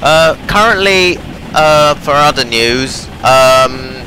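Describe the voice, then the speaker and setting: A man's voice: fairly high pitch, lively intonation, slow pace, sharp tone. A young man rambling casually through train-simulator gameplay, hesitant with many fillers, his voice strained from talking too much. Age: young adult. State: losing his voice. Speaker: gaming channel host. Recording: noisy home recording.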